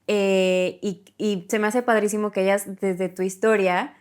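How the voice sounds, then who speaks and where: A woman's voice: mid-range voice, fairly even intonation, measured pace, darkish tone, clean tone. A woman hosting a podcast interview, friendly and chatty. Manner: friendly, chatty, laughs easily. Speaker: podcast host. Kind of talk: casual conversational interview. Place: recording in Berlin.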